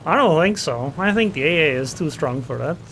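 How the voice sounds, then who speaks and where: Gamer man, slightly high, thinks aloud over loud game sounds. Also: expressive, brisk, slight background noise, low-quality recording. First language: Estonian. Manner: thinks aloud, muttering plans and small reactions. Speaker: gameplay commentator. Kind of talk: casual live gameplay commentary. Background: game engine and gunfire sounds.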